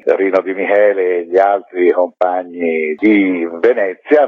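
Very deep husky man on phone. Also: no echo. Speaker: interview guest, magazine curator. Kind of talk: conversational interview answers. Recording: tinny phone line.

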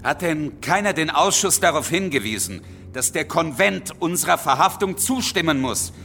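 An actor playing an outraged, defiant French revolutionary leader in a radio drama. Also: male voice, a little high, animated, normal speed, sharp tone, soft background music. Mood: defiant indignation.

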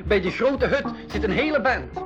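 An actor playing an excitable, friendly boy adventurer in a school radio drama. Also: high voice, normal speed, lo-fi sound, over loud music. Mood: excited.

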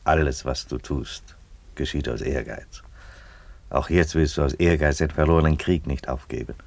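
An actor playing a middle-aged Roman emperor in a German radio play, weary and coolly ironic, with a deliberate delivery. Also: male voice, a bass voice, creaky, dry recording, exaggerated voice. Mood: cool, blunt. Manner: deliberate, unruffled, declarative.

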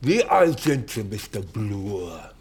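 An actor in a German radio drama playing a suspicious farmer husband, very breathy, needling with short sceptical questions. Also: male voice, lowish voice, very animated, takes it slowly, darkish tone, a little hiss or hum, heavily acted. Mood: mocking, probing. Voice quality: very husky, breathy.

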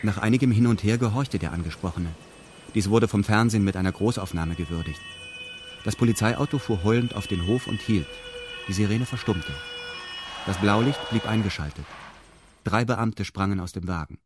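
A man's voice: fairly low pitch, moderate pace, over music. A man narrating a radio drama in a formal, matter-of-fact, detached way. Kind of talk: scripted radio drama narration in first person.

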